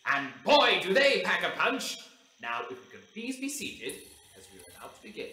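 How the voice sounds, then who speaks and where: A grand, showy facility announcer, played by an actor in an audio drama. The voice is bright and very animated, ringing in an echoey space. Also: mid-range voice, normal speed. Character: showy announcer welcoming guests at a science facility. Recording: echoey, faintly noisy announcement.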